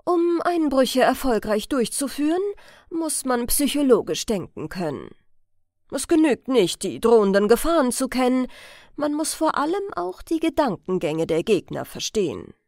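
A woman reading the words of a vain, self-assured gentleman criminal in an emphatic, lecturing way for an audiobook. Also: high-pitched, sing-song, moderate pace, no room sound, clean studio sound.

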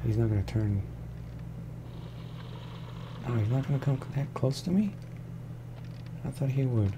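A man's voice: medium pitch, expressive, unhurried. A man with a slightly froggy voice giving casual, laid-back video game commentary, muttering to himself as he plays in his room at home. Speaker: gameplay streamer. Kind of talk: casual let's play commentary. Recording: clearly noisy home recording.

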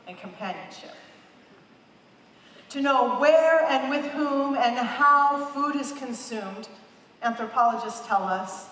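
High-voiced woman preaching in echoey hall. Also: a bit bright, a little hiss or hum. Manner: emphatic, repeats phrases for emphasis, gestures widely. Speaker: pastor. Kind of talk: sermon. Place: large dark church stage. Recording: echoey hall, heard from a distance.

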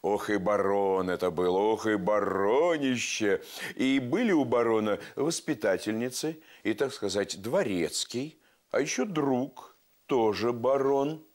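An older man hosting a children's TV show, telling stories in a gentle, playful way with dramatic whispers, on a lapel mic. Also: on the low side, animated, a little slow. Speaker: host. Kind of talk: children's TV storytelling. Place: studio set with bookshelves.

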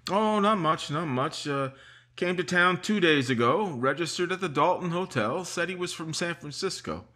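A man's voice: on the high side, animated, normal speed, sharp tone. An actor playing a patient small-town sheriff in a 1950s-style radio mystery, drawling and hesitating with "uh" and "mm" as he questions a witness. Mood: suspicious. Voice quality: husky, drawling with "uh" and "mm".

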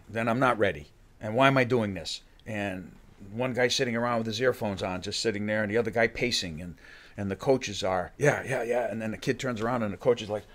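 An older man, a fight coach, talking in a podcast studio and often restarting his sentences. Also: medium pitch. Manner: halting, many restarts, acts out fighters' thoughts. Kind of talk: casual interview talk. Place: studio room with bookshelves. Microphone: studio mic on a boom arm.

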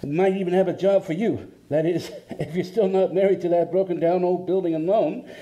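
A man's voice: high voice, fairly even intonation, fairly quick, mellow, lightly acted. A man playing a brash, jovial young businessman on a phone call in a radio play, hearty and boastful. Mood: pushy, excited.

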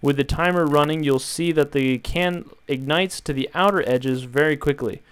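Smooth-voiced man giving calm instructions. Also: on the high side, normal speed. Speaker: DIY tutorial maker. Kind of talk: instructional voice-over.

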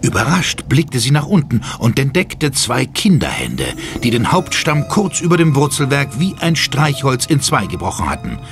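A man's voice: mid-range voice, wildly swooping pitch, normal speed, hardly any pauses, faint music behind. A man giving dramatic scripted narration as the narrator of a German horror audio drama.

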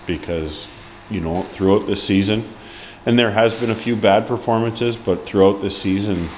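Deep-voiced man, halting hockey coach. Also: slight background noise, rough recording. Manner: halting, frequent ums and restarts, frank. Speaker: ice hockey head coach. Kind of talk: post-match press interview. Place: indoor press room before a sponsor board.

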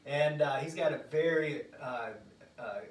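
A man's voice: on the high side, unhurried. A middle-aged man hosting a casual interview, introducing a colleague and giving short conversational prompts. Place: small office room indoors. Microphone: phone camera a few feet away. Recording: echoey room, distant mic, faint noise.

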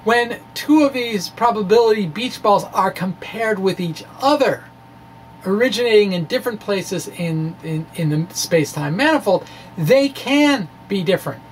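A man in middle age explains things to camera as a science presenter. He speaks deliberately and haltingly, drawing out certain words. There is noticeable background noise in the room. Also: high voice, animated, rough recording. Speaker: physics explainer, video blogger. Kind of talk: explanatory monologue, part read, part ad-libbed. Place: indoor room with bookshelves. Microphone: microphone on stand in front of him.

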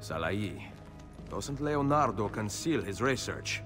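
An actor playing a confident, roguish Italian adventurer in a video game, with an Italian accent. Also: male voice, on the low side, expressive, slow pace. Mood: urgent, focused. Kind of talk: video game cutscene acting. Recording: music behind the dialogue.

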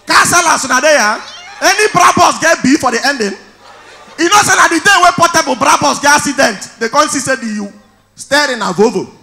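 A man in his thirties with a Nigerian accent, performing stand-up on stage with a handheld mic, telling stories loudly and shouting his punchlines. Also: extremely high pitch, animated, brisk, vocal fry. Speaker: stand-up comedian. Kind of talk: stand-up comedy in Pidgin. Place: large indoor event hall on stage.

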